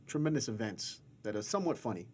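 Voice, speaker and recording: A man's voice: on the low side, sing-song, fast talker, slightly rough. A man hosting a casual interview, talking in a rambling, encouraging way. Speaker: interview host.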